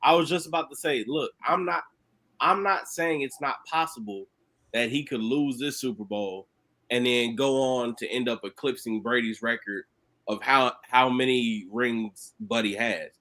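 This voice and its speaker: A man in casual sports debate on a podcast, animated and slangy, cursing and laughing as he argues. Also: mid-range voice, moderate pace. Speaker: podcast panelist.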